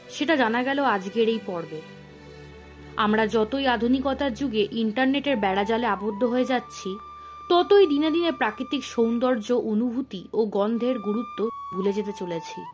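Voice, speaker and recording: A woman's voice: on the high side, moderate pace, darkish tone, soft background music. A woman hosting a story podcast, delivering a scripted introduction and outro.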